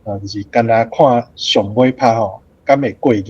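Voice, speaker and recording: A man with a Taiwanese accent and a husky voice of medium pitch asks questions casually and politely, a little hesitantly. The sound is dry and slightly dull. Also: moderate pace, a little mellow, no room sound. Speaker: questioner in a book discussion. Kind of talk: casual question to a guest author.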